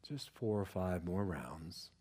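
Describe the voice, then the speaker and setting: A man guiding a relaxation meditation as a yoga nidra teacher, speaking in a calm, measured, soothing way with pauses. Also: deep voice, slightly rough.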